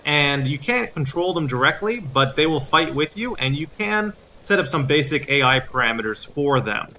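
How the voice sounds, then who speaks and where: A man in his thirties hosting a game walkthrough, explaining things in a halting way. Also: a little high, animated, sharp tone, a little hiss or hum. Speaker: video series host. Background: game music.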